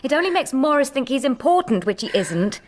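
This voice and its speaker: An actress playing a sharp-tongued, mocking young sister in a period radio drama, tart and scornful. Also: female voice, high-pitched, sing-song, fairly quick.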